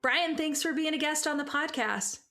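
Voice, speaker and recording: A woman hosting a podcast, reading an intro and announcements and then interviewing a guest in an upbeat, quick way. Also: high-pitched, bright, forceful tone, soft background music. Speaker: podcast host.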